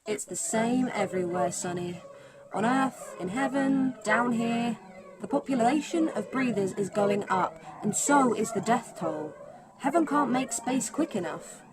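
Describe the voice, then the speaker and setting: A woman's voice: fairly high pitch, lively intonation, measured pace. A woman playing Death in an audio drama as a sweary, blunt, exasperated afterlife administrator, talking conversationally. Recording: clearly noisy background.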